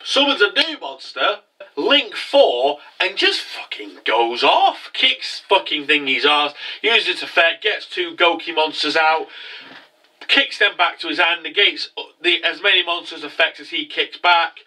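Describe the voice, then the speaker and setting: Young male host, congested, crude and jokey, slightly high voice. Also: animated, a little slow, sharp tone, far from the mic, rough recording. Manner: profane, rambling, jokey. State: congested from a cold. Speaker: anime review host. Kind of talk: casual recap commentary.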